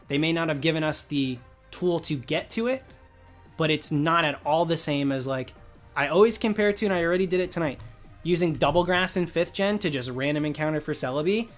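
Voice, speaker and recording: A man's voice: fairly high pitch, a little fast. A man live streaming a game, explaining his opinions in casual, informal chat while his voice starts to give out. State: losing his voice. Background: music.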